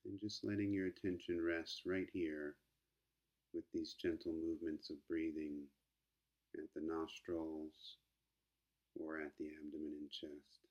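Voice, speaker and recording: A man leading a guided meditation as a teacher, speaking gently and calmly with long unhurried pauses. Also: rumbling low, lo-fi sound.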